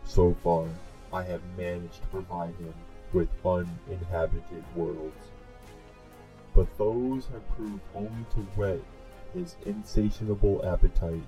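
A solemn male cosmic herald, acted by a man, speaks in a low, grave voice. The delivery is deliberate and theatrical, weighed down by torment, in a comic-dub monologue with music underneath. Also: fairly even intonation, dark tone, poor audio. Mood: solemn, burdened. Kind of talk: comic dub dramatic narration.

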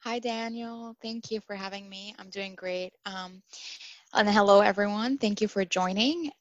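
A woman in her twenties from Syria who grew up in Dubai, a former international student talking casually as a podcast guest, lively and full of fillers. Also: mid-range voice, normal speed, no echo, muffled recording. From Syria, raised in Dubai. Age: 20s. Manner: animated, chatty, with many fillers and laughs.

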